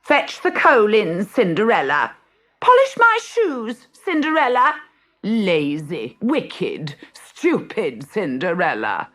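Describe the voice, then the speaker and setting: A woman's voice: medium pitch, huge pitch swings, slow pace, fairly bright tone, voice pushed up, exaggerated voice. A woman voicing spiteful, jeering ugly stepsisters in a storybook reading, bossy and sneering. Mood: scornful, bossy. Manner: sneering, imperious.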